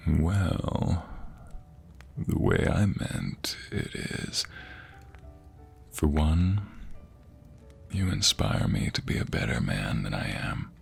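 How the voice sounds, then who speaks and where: A man playing a doting, tender husband in an intimate ASMR roleplay, speaking softly and often slipping into breathy whispers. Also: extremely low pitch, very animated, takes it slowly, pauses often, faint music behind, heavily acted. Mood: earnest, tender. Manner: soft, breathy, lingering delivery dropping into whispers. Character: doting, tender husband comforting his sweetheart.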